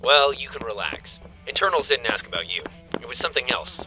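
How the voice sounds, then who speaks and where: A man playing an inquisitive, earnest soldier in an animated sci-fi series, chatty and easily flustered. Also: mid-range voice, fairly quick, muffled recording, faint music behind, lightly acted. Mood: probing, casual. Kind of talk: conversational animated sci-fi drama.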